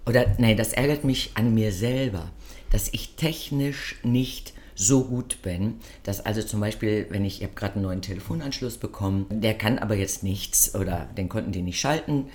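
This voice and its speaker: A woman chatting casually as a podcast co-host, telling a story in a lively, self-mocking way, her nose stuffed up from a cold. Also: extremely low pitch, moderate pace. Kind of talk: casual chat.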